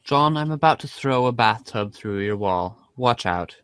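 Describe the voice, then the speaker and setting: A man voicing exasperated, sarcastic young chat friends in an animated amateur reading. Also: mid-range voice, a little slow, smooth, dry recording. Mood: snarky, indignant. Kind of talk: amateur readers' theater.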